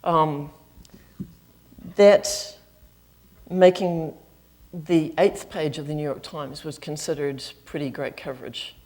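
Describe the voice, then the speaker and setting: A woman's voice: deep voice, fairly even intonation, slow pace, long pauses, warm tone. A middle-aged woman with a New Zealand accent giving a chatty, self-deprecating lecture to an audience, with frequent ums. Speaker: guest speaker, flute professor. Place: campus auditorium.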